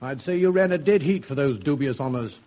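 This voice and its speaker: An actor playing a gruff, scolding police detective sergeant in a radio drama. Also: male voice, medium pitch, lively intonation, a little fast, highs cut off, natural voice. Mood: indignant.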